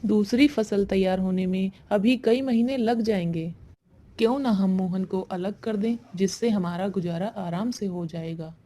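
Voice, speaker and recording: A woman's voice: medium pitch, measured pace, mellow, close to an everyday voice. A woman voicing a scheming, grudging young village wife in a story cartoon, with a cold, complaining manner. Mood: calculating, worried. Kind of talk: Hindi moral-story cartoon dialogue.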